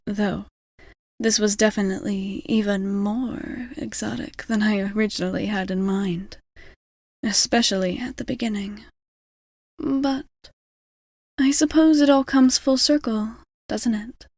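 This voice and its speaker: A woman playing a gentle, caring vampire bartender in an intimate ASMR roleplay, soft-spoken and reassuring, often slipping into whispers. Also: mid-range voice, steady tone, unhurried, dry recording, clean studio sound. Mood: fond, teasing. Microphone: close ASMR microphone.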